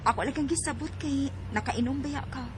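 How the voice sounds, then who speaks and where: A woman's voice: high voice, fairly quick, background noise, lightly acted. A woman playing an easygoing, friendly young woman in a radio drama, with a Filipino accent. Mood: amused, friendly.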